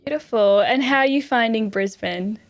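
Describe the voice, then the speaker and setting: A woman's voice: fairly high pitch, normal speed. A woman hosting a podcast in an informal, chatty way. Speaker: podcast host. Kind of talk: casual podcast interviewing.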